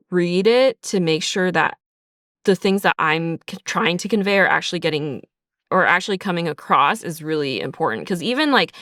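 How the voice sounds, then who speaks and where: A woman's voice: on the low side, fairly bright tone, smooth, dry recording, clean studio sound. A woman speaking casually in an interview as a writer, chatty and informal, peppering her talk with "like" and "sort of". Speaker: audio drama writer being interviewed. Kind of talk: casual behind-the-scenes interview.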